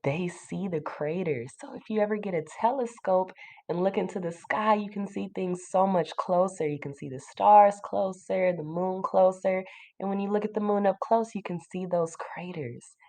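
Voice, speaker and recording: A woman teacher reading a children's book aloud to a class, pausing to explain simply and put questions to young listeners. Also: mid-range voice, mellow.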